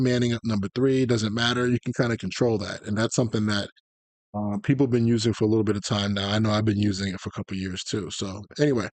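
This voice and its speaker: A man's voice: mid-range voice, a little mellow, no room sound. A man giving a casual live-stream lecture on video game tactics, informal and conversational, wandering into tangents as he explains.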